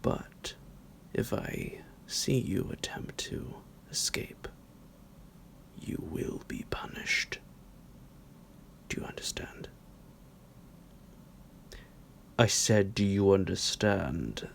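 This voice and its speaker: A man playing a psychotic, possessive vampire doctor in an ASMR roleplay, breathy and gravelly, with a drawn-out, menacing, mock-affectionate delivery. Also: deep voice, very animated, full character voice. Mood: stern, threatening. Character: psychotic male vampire doctor, possessive, sinister, condescending kidnapper. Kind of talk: ASMR roleplay, slow theatrical monologue.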